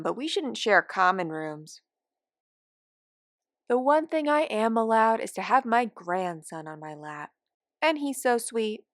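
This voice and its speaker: A woman's voice: mid-range voice, fairly bright tone, no echo, clean studio sound. A woman voicing a cranky, forgetful elderly care home resident in a wry, rambling, complaining monologue from a short story. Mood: suspicious, indignant, then fond, conspiratorial. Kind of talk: first-person dramatic monologue of short fiction.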